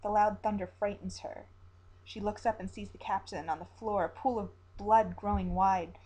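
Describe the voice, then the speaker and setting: A woman storyteller reading a dark, dramatic tale as scripted narration. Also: mid-range voice, steady tone, moderate pace, slightly rough, low-quality recording.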